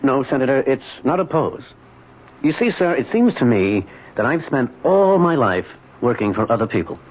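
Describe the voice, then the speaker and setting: A man's voice: medium pitch, very animated, moderate pace. An American actor playing a polite but newly defiant middle-aged businessman husband in an old-time radio drama. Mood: earnest. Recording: dull, noisy old radio recording.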